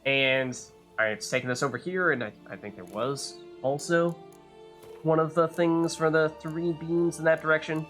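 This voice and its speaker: A man's voice: on the high side, fairly even intonation, normal speed, a bit bright, faint music behind. A man giving casual live commentary on a video game, chatting and thinking aloud as he plays. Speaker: game streamer, host.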